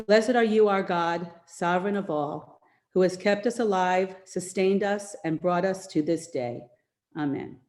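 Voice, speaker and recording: Middle-aged woman reading a formal welcome. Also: mid-range voice. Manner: formal, reads a scripted welcome. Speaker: event co-chair and host. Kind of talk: prepared welcome remarks. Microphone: video call mic.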